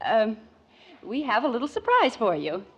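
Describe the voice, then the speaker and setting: An American actress playing a gentle, composed wife and mother in a 1950s radio comedy, politely hesitant and mildly chiding. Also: on the high side, huge pitch swings, normal speed, husky, heavily acted. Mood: hesitant, coaxing. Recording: muffled vintage radio recording.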